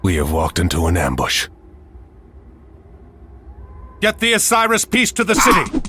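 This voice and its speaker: Animated, steadfast heroic admiral. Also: male voice, fairly low pitch, brisk, noisy background. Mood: urgent. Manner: earnest, commanding. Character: steadfast heroic male admiral, earnest and commanding. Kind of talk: video game cutscene acting.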